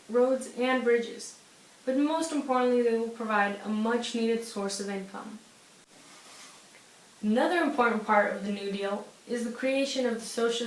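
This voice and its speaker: A young woman reading scripted news as an anchor. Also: on the high side. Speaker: news anchor in an amateur newscast. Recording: roomy echo with faint hiss.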